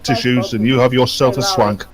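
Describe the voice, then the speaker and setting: A man's voice: mid-range voice, fairly even intonation, normal speed, over a noisy crowd. A man with a British accent making dry, joking commentary, with an old radio show and other voices behind him.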